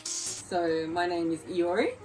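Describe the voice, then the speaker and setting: A woman's voice: fairly low pitch, slow, recorded from a distance, over music, low-quality recording. A woman in her thirties with an Australian English accent, casually hosting a family chat and laughing often. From Japan. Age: 30s. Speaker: eldest sister of a large family, channel host.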